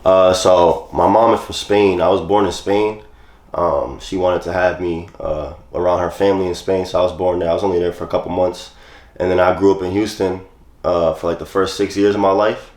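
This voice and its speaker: A man's voice: sits low, a little fast, echoey room. A young man, chatting loosely about his past as an interview guest. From New York. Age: 20s. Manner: relaxed, loose phrasing with many "like" fillers. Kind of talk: casual interview.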